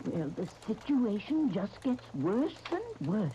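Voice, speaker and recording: A woman's voice: mid-range voice, very animated, takes it slowly, mellow, slight background noise. An actress playing a firm, scolding grandmother in a 1930s radio serial, on a muffled old disc. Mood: worried, scolding.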